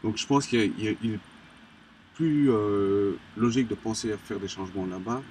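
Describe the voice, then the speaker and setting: Deep-voiced man, halting speech. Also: darkish tone. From Colombia. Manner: halting, many restarts and fillers. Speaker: graffiti artist, interviewee. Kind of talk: casual interview talk. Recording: distant mic with background noise.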